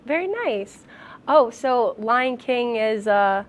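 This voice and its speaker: Young female student TV host, higher-pitched, interview style. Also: lively intonation, slow pace. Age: young adult. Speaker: talk show host. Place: student television studio.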